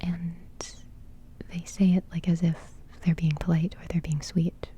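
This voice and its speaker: A young woman whispering a relaxed music reaction in ASMR style, giggling softly and rambling with plenty of fillers. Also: lowish voice, unhurried, a little hiss or hum. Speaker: ASMR creator reviewing music.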